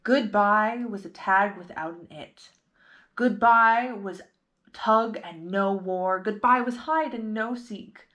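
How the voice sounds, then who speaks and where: A woman's voice: medium pitch, takes it slowly, a bit bright, dull audio. A woman hosting storytime, reading a picture book aloud expressively and putting simple questions to young listeners.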